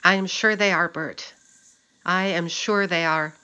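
A woman's voice: fairly low pitch, fairly even intonation, unhurried, pushed and bright, highs cut off. A woman playing a cautious, caring small-town woman in a radio play. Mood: tender, resigned. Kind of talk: radio drama acting.

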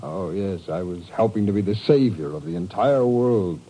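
An actor playing a bitter, fearful, disillusioned turncoat agent with an agitated, self-mocking manner in 1950s radio drama style. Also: male voice, sits low, normal speed, warm tone, natural voice, low-quality recording. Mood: bitter regret. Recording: old radio recording, faint noise.

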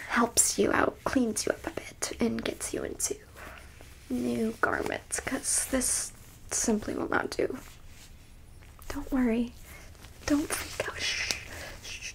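A woman's voice: fairly high pitch, rough recording. Woman as a whispering, haughty alien abductress. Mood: soothing. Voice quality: breathy whisper. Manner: slow, condescending, coaxing. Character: haughty, squeamish female alien abductor examining a captive human. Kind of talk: whispered ASMR roleplay.

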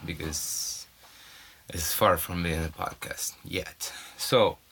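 Deep, slow Brazilian man rambling. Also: fairly even intonation, creaky, fairly bright tone, breathy edge, faint music behind. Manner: rambling, halting, with many fillers and restarts. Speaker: podcast host. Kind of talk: casual solo podcast monologue. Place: off-grid home in the mountains. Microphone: cell phone.

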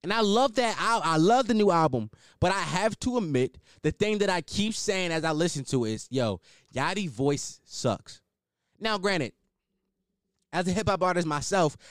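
Young guy podcasting solo, high voice, blunt, swears a lot, bouncy up and down delivery. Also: dry recording, clean studio sound. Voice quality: higher-pitched voice. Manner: opinionated, blunt, swears, restarts and riffs. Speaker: podcast host.

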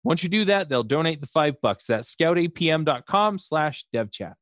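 A man reading a sponsor advertisement in a conversational, pitching manner. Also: lively intonation, moderate pace, fairly bright tone, no room sound, studio quality.

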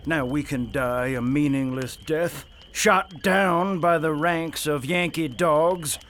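An actor playing a fanatical, ruthless Confederate colonel, delivering grandiose oratory in a radio drama. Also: male voice, on the high side, a bit bright. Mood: fervent, rousing. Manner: grandiose oratory, slow and fervent. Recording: music under the voice.

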